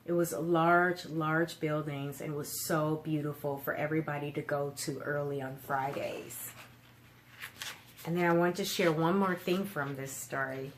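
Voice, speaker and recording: A woman with a slightly low voice presents book reviews as a children's storytime host. She explains things simply and puts easy questions to her young listeners. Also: steady tone, unhurried, a little hiss or hum.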